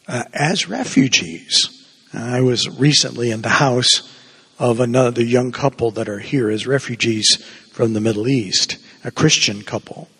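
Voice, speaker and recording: Man, Cuban-born priest, husky voice, long church reverb. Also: medium pitch, a bit bright. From Cuba. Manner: conversational, digressive preaching with asides. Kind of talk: homily.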